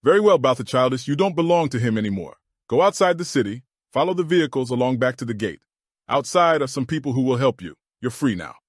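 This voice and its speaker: A man's voice: medium pitch, very animated, fast, no room sound, clean studio sound. A man playing a firm, decisive army colonel in an audiobook drama, giving orders with authority. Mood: questioning, firm.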